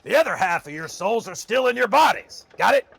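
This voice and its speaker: A man playing a flustered, excitable soul tracker underling in an audio drama, nervous and exclaiming, prone to stammer. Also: sits high, brisk, creaky, slightly rough, exaggerated voice. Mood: impatient. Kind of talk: scripted audio drama acting.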